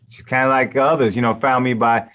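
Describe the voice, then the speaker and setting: A man coach and host talking casually and haltingly, with many fillers and restarts. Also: medium pitch, steady tone, slight background noise. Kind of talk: casual live-stream introduction. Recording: muffled lo-fi sound.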